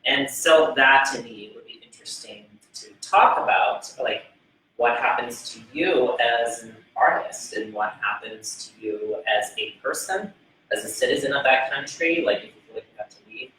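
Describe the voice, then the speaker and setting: A Brazilian man with a New York-tinged accent, hosting a casual cast discussion with rambling, animated stories. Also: medium pitch, normal speed. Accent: Brazilian, New York influenced. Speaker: interviewer and film writer. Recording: echoey room, mic at a distance, faint noise.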